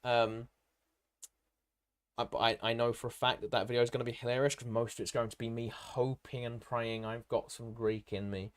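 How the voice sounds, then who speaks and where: Male live stream host, medium pitch, informal and rambling, jumping from one viewer message to the next. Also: level tone, moderate pace. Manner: rambling, hopping between comments, informal and matey. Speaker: livestream host and content creator. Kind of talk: casual live stream chat, answering viewer comments.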